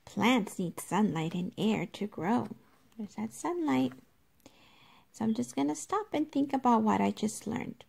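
A woman teacher reading a nonfiction book aloud to young children, explaining it in a deliberate, instructive way and addressing them directly. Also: fairly high pitch, gravelly, a little mellow, slightly rough.

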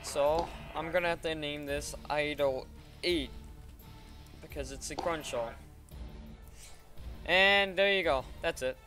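Man, fairly high voice, informal step-by-step tutorial, computer voice-over, faint music behind. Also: takes it slowly, long pauses, pushed and bright. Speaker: tutorial presenter. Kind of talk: casual screen-recorded software tutorial.

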